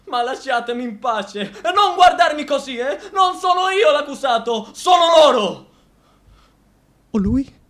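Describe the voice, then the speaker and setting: A man's voice: extremely high pitch, expressive, a little slow, fairly bright tone, exaggerated voice. An actor playing an anxious, self-pitying, cowardly male judge in a radio drama, rambling in agitation with questioning interjections. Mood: anxious, desperate. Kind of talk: stage-style radio drama acting.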